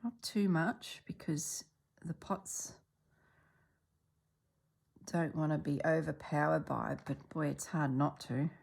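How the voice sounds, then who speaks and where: Slow, low-voiced woman stitching and chatting. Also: vocal fry, husky. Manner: chatty, relaxed, drops into soft murmurs while working. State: congested, clearing throat. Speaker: stitching vlogger. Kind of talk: casual chat while stitching.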